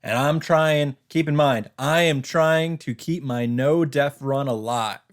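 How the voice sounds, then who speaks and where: A man's voice: on the high side, slow pace. A man let's player reading visual novel narration dramatically, with casual commentary of his own. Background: game music.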